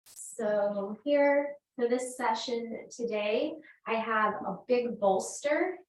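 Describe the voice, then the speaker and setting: Slow-paced woman in an echoey room. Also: on the high side. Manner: gentle, unhurried, invitational. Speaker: yoga teacher. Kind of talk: slow guided restorative yoga instruction. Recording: roomy echo, distant microphone.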